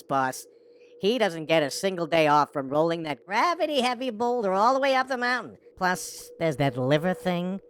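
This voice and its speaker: Eager, deferential holiday sidekick played by a woman, medium pitch, very animated, wry and placating, for audio drama. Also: lightly acted.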